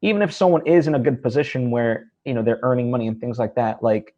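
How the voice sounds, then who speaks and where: A man's voice: mid-range voice, fast, dark tone, highs cut off. A man hosting a casual interview, circling toward his points in a hesitant, conversational way. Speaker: interviewer, host.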